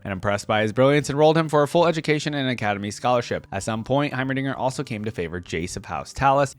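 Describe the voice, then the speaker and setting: A man's voice: medium pitch, no room sound. A man giving casual, conversational reaction commentary, sometimes reading aloud. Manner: conversational, informal. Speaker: reaction video host.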